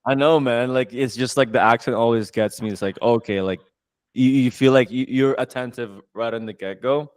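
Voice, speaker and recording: A man hosts a casual interview, excitable and full of slang, calling his guest "bro" again and again. His voice sits at a plain middle pitch for a man. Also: normal speed, clean tone, dry recording. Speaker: podcast host.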